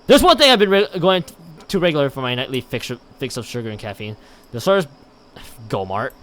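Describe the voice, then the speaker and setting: A man's voice: medium pitch, big pitch swings, a little fast, fairly bright tone. A man livestreaming, reading a visual novel aloud and chatting, often stumbling and repeating words, with dramatic emphasis. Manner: stumbling over read text, repeating and restarting words. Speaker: live game streamer.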